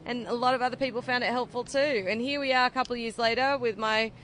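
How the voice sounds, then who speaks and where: A woman's voice: on the high side, fairly even intonation, fast talker, fairly bright tone, slight background noise. A woman in her thirties with an Australian accent, explaining her barbecue cooking with enthusiasm in an outdoor interview at a food event. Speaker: barbecue cook.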